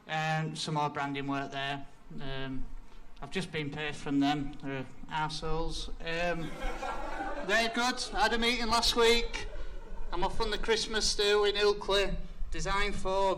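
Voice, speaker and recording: Blunt, bright-voiced Yorkshire man. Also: high voice, steady tone, takes it slowly, a little hiss or hum. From Yorkshire, England. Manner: blunt, sweary, self-deprecating. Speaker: freelance designer giving a talk. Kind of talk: casual conference presentation.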